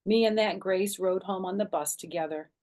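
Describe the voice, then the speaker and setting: A woman narrates a children's book at storytime. Her voice sits at a medium pitch, and she tells the story in an animated, dramatic way, with plenty of expression. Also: moderate pace.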